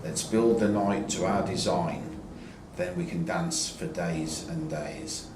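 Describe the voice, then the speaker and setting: A man's voice: sits low, steady tone, takes it slowly. A man reciting a poem line by line. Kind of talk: slow poetry recital. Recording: echoey, clearly noisy ambient recording.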